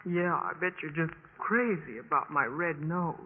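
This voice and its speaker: An actress playing an earnest, emotional young American college sorority pledge in 1950s radio drama style, stammering and hesitant. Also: female voice, low-pitched, a little slow, slightly rough. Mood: glum. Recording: muffled, noisy 1950s radio recording.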